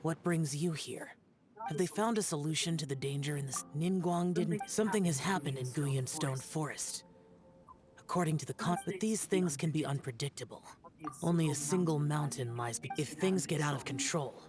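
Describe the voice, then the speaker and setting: A woman's voice: low voice, normal speed, lightly acted. An actress playing a serious woman in an anime video game. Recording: game audio over clear music.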